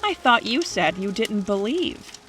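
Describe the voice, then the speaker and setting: A woman acting in an audio drama as a teasing, stubborn young skeptic with a brisk, argumentative manner. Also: medium pitch, sing-song, a bit bright. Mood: dismissive.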